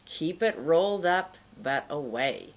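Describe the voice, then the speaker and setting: A middle-aged woman giving an instructional pronunciation lesson to camera, enunciating deliberately and carefully. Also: deep voice, expressive. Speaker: pronunciation teacher.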